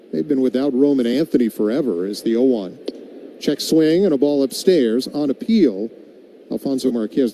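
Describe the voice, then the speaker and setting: A man calling live baseball play-by-play on radio, slipping into casual banter with his partner. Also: mid-range voice. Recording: muffled broadcast with steady background noise.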